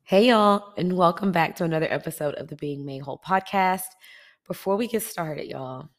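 A woman's voice: fairly low pitch, moderate pace. A woman hosting a faith-based self-improvement podcast, stuffy and congested from allergies, chatting directly to her listeners. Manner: chatty, warm address to listeners. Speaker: podcast host.

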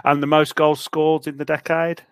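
A man's voice: fairly high pitch, level tone, moderate pace, smooth, no room sound, studio quality. A man co-hosting a casual podcast, reading out quiz questions and laughing at himself. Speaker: podcast co-host running the quiz.

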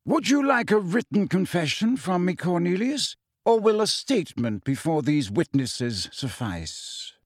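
Audiobook role: wise old male headmaster acted by a man, courteous, calm, gently ironic, widely varied intonation, dry close sound. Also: high voice, measured pace, few pauses, no echo, pitched above the performer's own voice, full character voice. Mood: pleasant, amused. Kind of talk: audiobook character voice.

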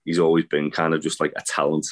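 A man hosting a wrestling news podcast, losing his voice to a cold, talking in a halting way with many fillers. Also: extremely low pitch, monotone. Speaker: podcast host. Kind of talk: casual chat, reading news.

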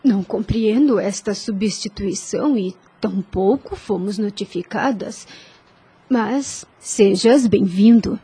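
An actress with a Brazilian accent playing a humble, devout nun in a radio drama, formal, deferential and pleading. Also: female voice, mid-range voice, takes it slowly, mellow, husky. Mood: puzzled, courteous.

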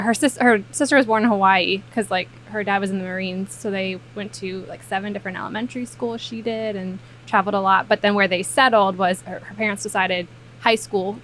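A woman's voice: mid-range voice, a bit bright, a little hiss or hum, poor audio. A woman from the Maryland and Virginia area, a guest accountant chatting casually on a podcast with lots of fillers. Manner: chatty, frequent fillers, laughs easily. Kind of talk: casual interview chat.

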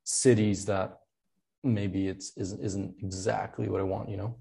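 A young man from Spain, chatting casually as an interview guest. His voice sits a little low, and he rambles through restarts and fillers, laughing often as he goes. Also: little pitch movement, unhurried, vocal fry, mellow. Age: 20s. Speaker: podcast guest, product designer.

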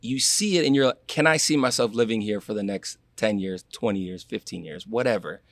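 A young man from Florida chats in a casual interview, his voice somewhat low and the sound dry and close. He tells his stories with energy, slipping into other people's voices as he goes, and laughs easily. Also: a little fast, no echo. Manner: animated storyteller, acts out quotes, laughs. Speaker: guest, former college athlete.

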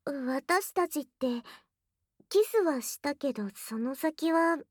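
High-pitched bashful tsundere girlfriend. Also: animated, slow pace, no room sound, studio quality. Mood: shy, hesitant. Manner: flustered, stammering, breathy asides dropping into whispers. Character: tsundere young girlfriend, bashful, jealous, teasingly bold. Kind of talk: Japanese ASMR situation voice drama, intimate. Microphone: close binaural microphone, dry studio.

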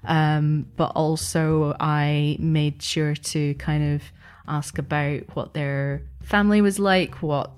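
A woman's voice: sits low, steady tone, takes it slowly, a little mellow, clean tone. A woman giving casual, reflective interview answers as a podcast guest. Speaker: podcast guest, audio fiction producer and game master.

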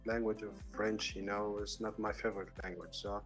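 A young man from Germany, a native German speaker and student, chatting haltingly in English with many fillers. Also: on the low side, little pitch movement, fairly bright tone, faint music behind. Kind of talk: casual chat.

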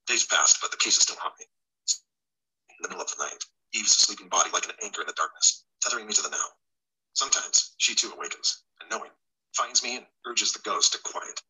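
A man voicing a haunted, world-weary detective in an intense first-person audiobook narration, husky and raspy. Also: low-pitched, sharp tone, exaggerated voice, rough recording. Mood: haunted, brooding.

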